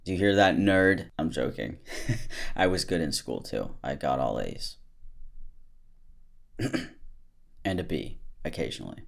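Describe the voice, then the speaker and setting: A man's voice: rumbling low, expressive, measured pace, creaky, long pauses. A man hosting a comedic commentary video, reading out online posts and mocking them with sarcastic jokes. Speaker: YouTube commentary host.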